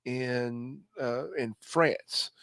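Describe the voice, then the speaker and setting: Halting man telling stories, dry sound. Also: medium pitch, gravelly, fairly bright tone, no echo. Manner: halting, searching for words. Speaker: podcast co-host. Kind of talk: casual storytelling on a live podcast.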